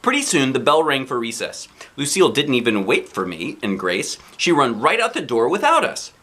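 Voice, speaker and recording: A man reading a children's book aloud as a dad, with playful, expressive, theatrical delivery. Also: mid-range voice, moderate pace. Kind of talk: animated children's storybook narration.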